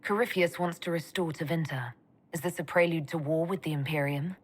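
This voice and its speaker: An actress voicing a sharp, curt elf mage who leads the group in a fantasy game. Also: female voice, deep voice, fairly quick, slightly rough. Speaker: player character in a fantasy game. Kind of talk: video game dialogue acting.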